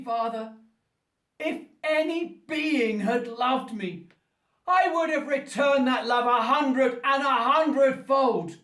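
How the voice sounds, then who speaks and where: Wretched eloquent monster, very high voice, radio play, grandiloquent, roomy and distant. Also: male voice, a bit bright, full character voice. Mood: bitter, mournful. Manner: slow, grandiloquent, pleading and menacing delivery. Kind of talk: theatrical radio play acting. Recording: roomy echo, distant from the mic.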